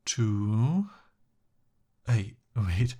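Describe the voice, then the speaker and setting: A man's voice: on the low side, lively intonation, extremely slow, warm tone, breathy edge, exaggerated voice. A man playing a pompous young human prince in an ASMR roleplay, speaking formally but flustered and pleading. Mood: flustered bluster.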